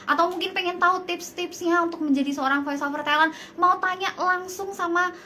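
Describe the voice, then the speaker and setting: A woman with a bright, very high voice co-hosts a live chat. She is bubbly and agreeable, addressing viewers directly over some background noise. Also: steady tone, fairly quick. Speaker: live-stream co-host. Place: live video stream.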